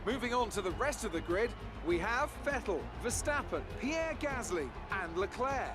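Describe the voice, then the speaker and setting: An actor playing an in-game race commentator, speaking in polished, theatrical broadcast style. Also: male voice, high voice, big pitch swings, moderate pace. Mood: grand. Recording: game audio with loud music underneath.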